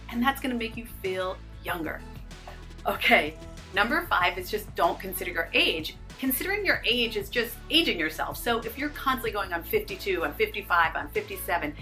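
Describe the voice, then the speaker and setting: A woman's voice: medium pitch, pushed and bright. A woman in her fifties talking casually to the camera, upbeat and encouraging, speaking straight to her viewers. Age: 50s. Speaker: fitness and lifestyle YouTuber.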